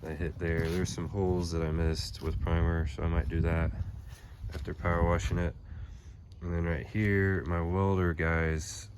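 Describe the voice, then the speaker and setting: Young male vlogger, head cold, deep voice, loose filler-heavy delivery, noisy phone audio outdoors. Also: moderate pace. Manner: relaxed rambling with fillers. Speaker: channel host. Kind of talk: casual how-to vlog. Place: pole barn, then outdoors on a lawn. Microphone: phone at arm's length, then phone camera behind it.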